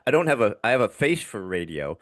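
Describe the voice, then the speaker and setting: An older man hosting a podcast, with a gravelly baritone and a slight lisp, enthusiastic and casual as he reads out a guest's bio. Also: very animated, fast talker, no echo, clean studio sound. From Long Island. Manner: enthusiastic, casual, calls guest buddy and dude. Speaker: podcast host.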